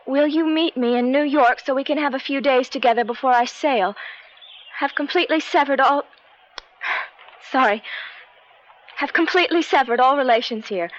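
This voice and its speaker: A woman's voice: high-pitched, a bit bright. An actress in a radio drama playing a wounded, lovelorn estranged wife who confides in her father. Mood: reading, moved. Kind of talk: 1970s radio drama acting. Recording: dull old radio recording.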